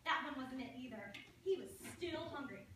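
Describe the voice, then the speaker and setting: Exasperated little girl played by a woman, stage reading, bright and swooping, very echoey hall. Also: high-pitched, animated, moderate pace. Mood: storytelling. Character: exasperated little schoolgirl Rondi with missing front teeth. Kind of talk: reader's theatre stage performance. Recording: very echoey room, distant mic, faint noise.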